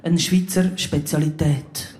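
A Swiss German woman performing spoken word on a live stage, toying with puns and letting her pitch jump high and drop low. She takes her time between phrases, and the sound is roomy with the stage around her. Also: fairly even intonation, measured pace, mellow, slight background noise. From Switzerland. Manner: playful, word-punning, swooping up and down in pitch. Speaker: slam poet. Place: stage before a live audience.

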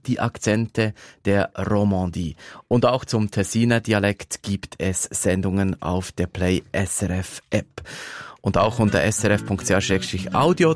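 A man hosts a radio talk show in a dead-quiet studio, his voice a touch low. He talks in an easy, conversational way and puts questions to his guests. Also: no room sound. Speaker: radio host.

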